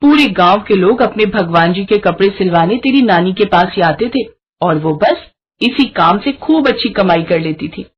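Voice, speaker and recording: Woman as a fond, lively teasing village mother. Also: medium pitch, normal speed, slightly rough, lo-fi sound. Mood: affectionate. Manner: affectionate, gently scolding. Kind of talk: Hindi moral-story cartoon voice acting.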